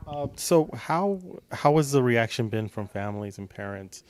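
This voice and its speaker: A man's voice: mid-range voice, expressive, moderate pace. A man hosting a casual promotional interview in an encouraging, complimentary manner. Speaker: interview host.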